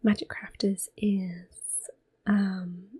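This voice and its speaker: A woman softly whispering gentle, unhurried ASMR commentary over a video game, with a breathy, hushed voice. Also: mid-range voice, little pitch movement. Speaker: gameplay host. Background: game music.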